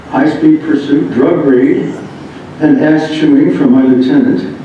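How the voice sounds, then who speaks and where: A man with a fairly high, mellow voice reads a memoir aloud in a somewhat halting way. The recording is very echoey, as if the microphone sits well away from him in a bare room. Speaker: reader of a memoir. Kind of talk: reading a written first-person narrative aloud. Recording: muffled, very echoey room, distant mic, rumbling noise.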